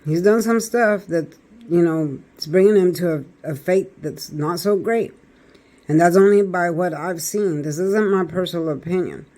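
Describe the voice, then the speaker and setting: Raspy-voiced man reading tarot. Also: high voice, moderate pace, darkish tone, poor audio. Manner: chatty, talks straight to viewers. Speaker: tarot reader.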